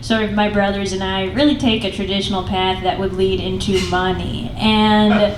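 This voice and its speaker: A woman's voice: mid-range voice, fairly even intonation, moderate pace, background noise. A young woman from Pakistan, a comedian guest chatting on a live stage, self-deprecating and rambling, often laughing at herself. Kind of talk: casual live stage interview. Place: small live comedy venue. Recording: roomy live venue, distant mic, audible ambience.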